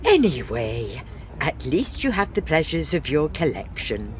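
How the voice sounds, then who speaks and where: A practical, dryly exasperated wife of middle years acted by a woman in a comic period radio farce. She speaks slowly with animated pitch, over faint background noise. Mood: gently coaxing.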